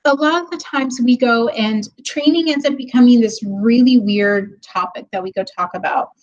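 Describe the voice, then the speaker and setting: Female guest presenter, slightly high voice, friendly and chatty with fillers, remote call from a hallway. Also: normal speed, muffled recording. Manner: friendly, laughs easily, many ums. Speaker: guest speaker. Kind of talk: webinar presentation. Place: office hallway.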